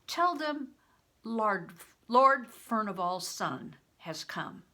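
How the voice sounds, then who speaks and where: A woman's voice: mid-range voice, sing-song, very slow, bright, forceful tone, voice pushed up, full character voice. An older woman reading aloud as a defiant young peasant boy, speaking earnestly and firmly.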